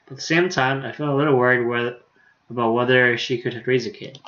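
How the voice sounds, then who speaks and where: A man livestreaming a visual novel, reading the dialogue aloud haltingly with stumbles and self-corrections, and adding casual commentary. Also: mid-range voice, steady tone, fairly bright tone, muffled recording.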